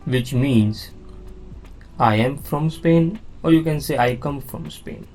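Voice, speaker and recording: A man's voice: mid-range voice, takes it slowly, a little mellow, faint music behind. A man teaching a beginner language lesson, explaining slides patiently and repeating words with frequent "now" and "okay?".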